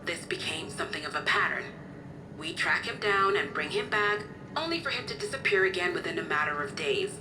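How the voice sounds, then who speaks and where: An actress playing a kindly, motherly guardian in a video game cutscene, explaining things in a gentle storytelling way. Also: on the low side, expressive, fairly quick, background noise, lightly acted. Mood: earnest, explaining. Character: kindly motherly woman, caring guardian of an orphaned boy.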